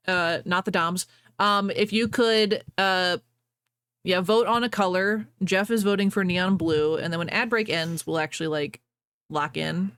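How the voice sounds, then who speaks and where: A woman's voice: mid-range voice, a bit bright, clean tone, no echo, clean studio sound. A woman hosting a casual livestream, talking in a playful, teasing way. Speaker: livestream host.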